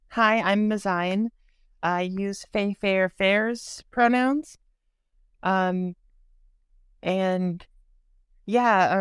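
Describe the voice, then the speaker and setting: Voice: mid-range voice, smooth, no echo, studio quality. A taiko player and mentor introducing themself on a podcast, easygoing and a little hesitant.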